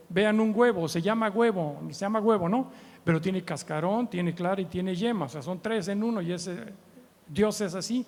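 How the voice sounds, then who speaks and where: Guest preacher: Mexican man, early 70s, fairly high voice, chatty and humorous teaching, long hall reverb. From Mexico City, Mexico. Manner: conversational, humorous, often seeks agreement with short tag questions. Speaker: guest preacher and missions trainer. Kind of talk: informal church teaching with anecdotes. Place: church meeting hall.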